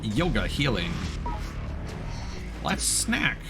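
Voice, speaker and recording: A male streamer with a mid-range, animated voice gives let's play commentary. He reads out game text with care and drops in relaxed asides, with game music behind him. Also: mid-range voice, very slow.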